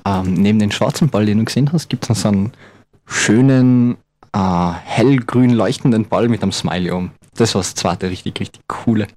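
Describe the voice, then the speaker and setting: A man's voice: on the low side, moderate pace, darkish tone, dry recording. Young man, campus radio co-host, rambling and playful. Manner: joking, rambling, many fillers. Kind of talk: casual radio chat.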